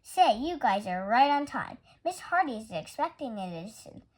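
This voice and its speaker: A woman's voice: fairly high pitch, sing-song, normal speed, a little mellow. A woman voicing a friendly, easygoing man who works as a builder in a children's storybook, casual and upbeat.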